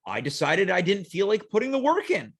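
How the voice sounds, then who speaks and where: A male host with a slightly high voice and lively, swinging intonation. He speaks in a deliberate, theatrical way, setting up his show and bantering with pauses for effect. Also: slightly rough.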